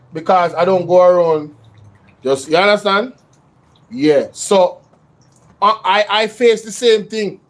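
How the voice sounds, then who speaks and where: A man from Jamaica hosting a casual live-stream chat, speaking earnestly in a standard English rather than patois. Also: high-pitched.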